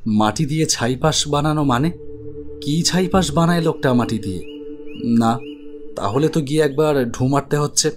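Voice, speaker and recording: A man playing an earnest, uneasy protagonist who narrates his own story in an animated horror drama. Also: on the high side, normal speed, pauses often, natural voice. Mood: reflective storytelling. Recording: music under the voice. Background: background music.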